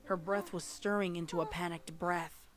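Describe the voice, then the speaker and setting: Low-pitched woman narrating over music. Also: steady tone, normal speed. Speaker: audiobook-style story narrator. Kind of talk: scripted dramatic fiction narration. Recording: music playing under the narration.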